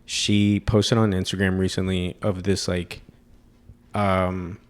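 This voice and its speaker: A young man in his twenties, co-hosting a podcast in a relaxed, rambling chat full of fillers and restarts. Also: deep voice, slow. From Mexican heritage. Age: 20s. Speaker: podcast co-host. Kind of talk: casual chat.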